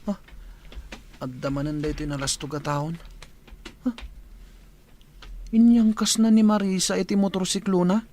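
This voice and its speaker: A man's voice: high voice, moderate pace, long pauses, a little mellow, lightly acted. Man playing earnest teenage village schoolboy. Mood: wistful. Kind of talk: comedy radio drama acting.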